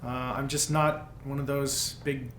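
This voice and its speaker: A man, a tabletop player, casually and haltingly describing his game character. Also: medium pitch, little pitch movement, a bit bright. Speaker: tabletop role-playing player. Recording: echoey room with faint noise.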